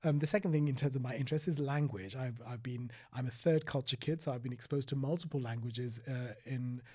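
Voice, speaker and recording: A middle-aged man from Sri Lanka, talking reflectively as an interview guest, with frequent restarts and soft trailing phrases. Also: mid-range voice, brisk, slightly rough. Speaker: guest, former paediatrician. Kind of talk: conversational interview.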